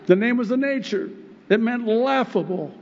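A middle-aged man whose first language is Arabic, a pastor preaching a sermon from a pulpit microphone on a church stage, animated and chuckling often as he acts out God's replies. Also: extremely high pitch, normal speed, muffled recording, a little hiss or hum. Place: church stage with wood pulpit and plants, indoors.

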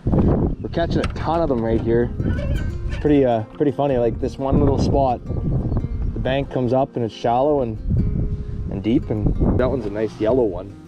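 A man, hosting a fishing vlog from a canoe and talking excitedly to viewers. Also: medium pitch, moderate pace, long pauses, lo-fi sound. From Canada. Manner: excited, talks to camera viewers. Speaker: fishing show host. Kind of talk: casual outdoor vlog chat. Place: canoe on a river outdoors. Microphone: action camera on the canoe. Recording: music under the voice.

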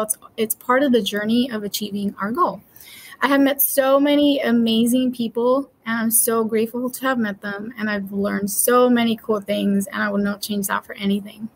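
A woman in her twenties from Puerto Rico, a veterinary student answering interview questions in a cheerful, earnest way. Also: on the high side.

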